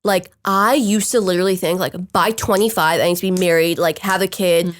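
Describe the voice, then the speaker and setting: A woman's voice: on the low side, fairly even intonation, a little fast. A woman in her twenties with a stuffy, nasal voice, chatting frankly and rambling a little. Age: 20s. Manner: rambling, frank, searching for words. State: stuffy nose. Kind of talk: casual chat.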